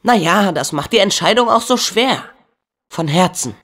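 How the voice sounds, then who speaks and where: A man's voice: high voice, lively intonation, normal speed, fairly bright tone. A young man voicing a plucky, kind-hearted teenage boy hero in a video game dub, earnest and spirited, with casual youthful phrasing. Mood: thoughtful.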